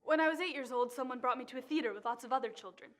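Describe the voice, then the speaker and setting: A woman's voice: high-pitched, racing, fairly bright tone. A woman performing a stage monologue as a bewildered, intense young woman, with dramatic, emphatic storytelling. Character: young woman, bewildered and intense, recalling a traumatic childhood theater outing.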